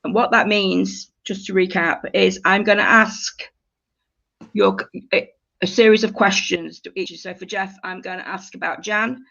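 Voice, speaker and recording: A woman's voice: mid-range voice, steady tone, moderate pace, gravelly, sharp tone. A woman leading a creative writing workshop, giving polite, slightly hesitant instructions to her group in a conversational way. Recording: dull lo-fi audio.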